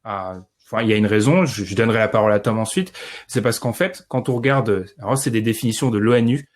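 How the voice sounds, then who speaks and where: A man's voice: lowish voice, fast talker. Podcast guy laying out his take, sure of himself, bit wry. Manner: explanatory, confident, wry. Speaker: podcast co-host. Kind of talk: casual podcast discussion.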